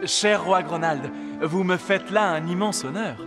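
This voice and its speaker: A man's voice: high-pitched, measured pace, music in the background. An actor playing an earnest, noble young king in a fairy-tale cartoon, speaking in a courtly, emotive way. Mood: ceremonious.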